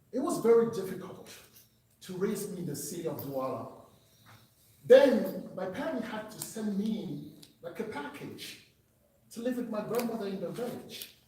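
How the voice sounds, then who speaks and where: A man's voice: high-pitched, lively intonation, a little slow, long pauses, warm tone, slight background noise. A man from Cameroon, giving an earnest, emphatic personal testimony speech at a meeting. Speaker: public speaker. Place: meeting room. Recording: echoey hall, distant mic.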